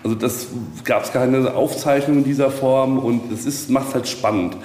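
A man's voice: mid-range voice, steady tone, moderate pace, darkish tone. A man guiding visitors informally, explaining stories in a loose way with many asides, recorded in a very reverberant space. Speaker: castle tour guide. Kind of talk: informal guided tour storytelling. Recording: very echoey, reverberant, noticeable background noise.

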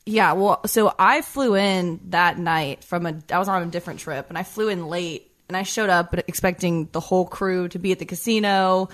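A woman with a raspy, low voice, telling a story in casual chat. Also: moderate pace, hardly any pauses. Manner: relaxed, chatty recounting with quoted asides.

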